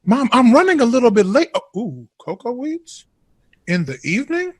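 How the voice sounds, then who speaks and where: An actor playing a witty, teasing young jazz lover in a naturalistic audio drama. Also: male voice, extremely high pitch, sing-song, moderate pace, breathy edge, full character voice. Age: young adult.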